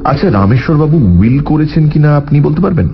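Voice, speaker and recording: An actor playing a shrewd, courteous detective who questions thoughtfully in a radio drama. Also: male voice, on the high side, animated, brisk. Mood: thoughtful, probing. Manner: probing, thoughtful, polite. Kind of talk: Bengali radio drama dialogue. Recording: muffled radio audio with faint music.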